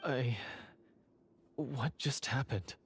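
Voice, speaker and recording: A man voicing a troubled, bitter male treasure hunter in a video game, speaking in a dramatic, halting way. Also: mid-range voice, faint music behind. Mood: shaken.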